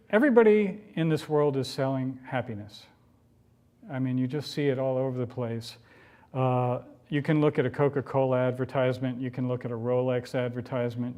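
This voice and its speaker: Higher-pitched man giving a motivational speech. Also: darkish tone. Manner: earnest, emphatic, repeats phrases for rhetorical effect. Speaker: public speaker. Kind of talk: motivational public address. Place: room, speaking to a campus audience.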